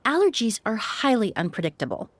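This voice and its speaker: A woman playing a composed, helpful young perfumer in a video game, speaking courteously and explaining things in measured phrases. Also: on the high side, big pitch swings, no echo. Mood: modest, thoughtful. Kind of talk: video game dialogue acting. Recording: music playing underneath.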